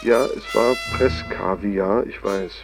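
An actor playing an embittered, loyal old aide to an architect in a radio play, confiding and sardonic, speaking deliberately with pointed pauses. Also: male voice, on the low side, music in the background. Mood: sardonic, smug. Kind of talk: German radio play monologue.

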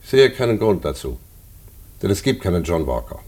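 An older, authoritative prison director in a postwar radio play. The voice is extremely low and husky with swinging intonation, stern and formal in terse, composed phrases. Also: male voice, very animated, brisk, darkish tone, full character voice. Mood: stern, measured.

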